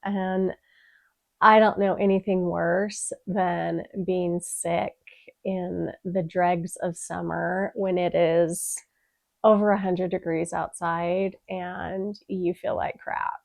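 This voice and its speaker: Sick young woman vlogger, nasal and stuffed up, creaky, dry close sound, unhurried. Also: on the low side, flat delivery, no echo. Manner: chatty, frequent "um" fillers, soft-spoken. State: congested, stuffed-up voice. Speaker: beauty vlogger. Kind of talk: casual product review.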